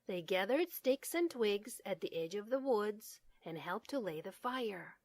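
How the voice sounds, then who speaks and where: A woman's voice: medium pitch, animated, unhurried, no echo. A woman narrating a children's story as a read-aloud. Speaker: storybook reader.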